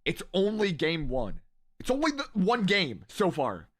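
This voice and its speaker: A young man streams a watch party in a high, bright, ringing voice. He rambles with excitement, talks straight to his chat, and sounds strained as his voice starts to go. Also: unhurried, slightly rough. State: losing his voice.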